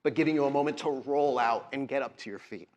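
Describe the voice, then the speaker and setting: A man's voice: mid-range voice, fast, a little mellow. A man game mastering a live tabletop session. He describes horror action vividly and with suspense, then drops into casual asides to direct the players. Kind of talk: improvised horror narration and game refereeing. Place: live convention show before an audience.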